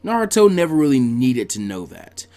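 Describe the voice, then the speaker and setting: A man reading fan fiction aloud as an expressive story narrator. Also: mid-range voice, normal speed, faint music behind.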